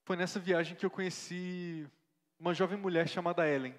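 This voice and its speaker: A man's voice: high-pitched, steady tone, fairly quick, lightly acted. A man playing an earnest young missionary pastor, telling his life story in a staged interview. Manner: earnest, reflective storytelling with frequent tag questions. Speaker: interviewee in a church play. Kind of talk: staged interview, church theatre. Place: church hall. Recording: reverberant church hall.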